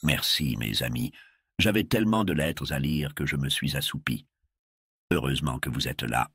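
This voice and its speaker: An actor playing a kind, sleepy old Santa Claus in a cartoon, speaking slowly and gently. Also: male voice, full character voice. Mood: cheerful. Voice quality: very deep, husky. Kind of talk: cartoon voice acting.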